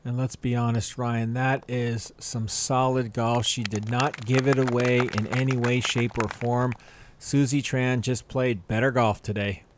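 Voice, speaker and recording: A man's voice: mid-range voice, level tone, measured pace, background noise. A man giving color commentary on a golf broadcast, candid about each shot. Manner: candid, gives frank praise and criticism of shots. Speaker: golf analyst.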